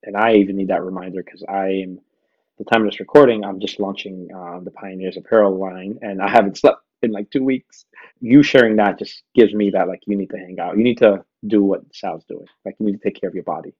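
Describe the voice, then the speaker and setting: A man's voice: deep voice, fairly even intonation, fairly quick, husky, dull audio. A man hosting a podcast, chatting in a casual, informal, slangy interview style. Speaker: podcast host.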